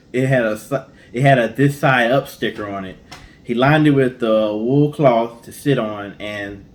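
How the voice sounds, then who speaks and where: A man co-hosting, presenting and telling stories in an informal, casual style. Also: medium pitch. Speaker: co-host.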